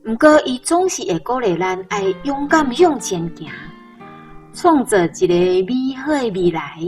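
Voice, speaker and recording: A woman's voice: fairly high pitch, sing-song, a little slow, soft background music. A woman with a Taiwanese accent reading a scripted essay for radio. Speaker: reader.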